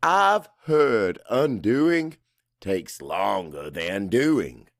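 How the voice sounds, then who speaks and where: A man's voice: on the low side, sing-song, very slow, vocal fry, no room sound, clean studio sound. A man narrating first-person dramatic fiction in a brooding, confessional manner. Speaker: audiobook narrator.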